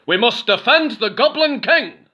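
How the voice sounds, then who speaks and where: A man voicing a group of male goblins lurking in a cave, in a storybook read-aloud, drawn-out and high. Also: lively intonation, bright, forceful tone, lo-fi sound, heavily acted. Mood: eerie.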